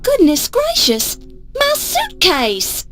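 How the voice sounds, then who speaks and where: A woman's voice: very high voice, huge pitch swings, slow pace, heavily acted. An actress playing an eager, polite young woman starting out as a reporter in an adventure game, with music behind her. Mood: dismayed. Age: young adult. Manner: earnest, polite, determined. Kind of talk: scripted adventure game acting. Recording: music under the voice.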